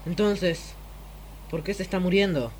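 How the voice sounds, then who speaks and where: A man's voice: high voice, measured pace, slight background noise. Earnest young boy warrior. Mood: questioning. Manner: earnest, defiant. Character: young boy warrior, brave, defiant, anguished. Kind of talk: radio drama acting.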